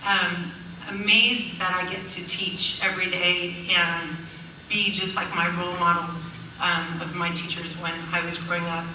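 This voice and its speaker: A woman's voice: on the low side, little pitch movement, a little slow, background noise. A middle-aged woman speaking on a panel as a teacher, earnest, with fillers and restarts. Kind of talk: panel talk. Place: event hall. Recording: echoey hall, far from mic.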